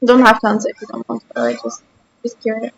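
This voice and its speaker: A woman's voice: on the high side, flat delivery, quick pace, vocal fry, slightly rough, lo-fi sound. A woman from Austria with an Austrian German accent and German as her first language, chatting casually with her stream chat while she plays, self-deprecating.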